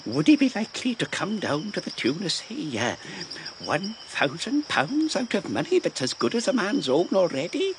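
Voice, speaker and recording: A man's voice: high voice, wildly swooping pitch, moderate pace, a little hiss or hum, full character voice. A man playing a ragged, half-crazed old marooned sailor in an audio drama, rambling and wheedling in rustic pirate dialect. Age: older.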